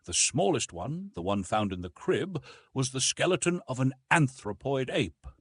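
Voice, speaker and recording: A man voicing a fussy, precise older scholarly gentleman in an audiobook, with a prim, emphatic and formal delivery. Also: medium pitch, big pitch swings, moderate pace, slightly rough. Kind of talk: audiobook character reading.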